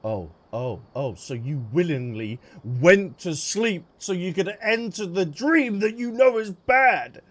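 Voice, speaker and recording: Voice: mid-range voice, lively intonation, slow pace, hardly any pauses. A game streamer giving casual, reactive commentary, thinking aloud while playing.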